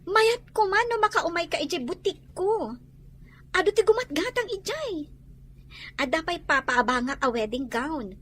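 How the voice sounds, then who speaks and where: A woman plays a persuasive town shopkeeper with a strikingly high voice. The character is a concerned cousin in a comedy drama, always coaxing and caring. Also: big pitch swings, normal speed, fairly bright tone, heavily acted. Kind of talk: Ilocano comedy-drama voice acting.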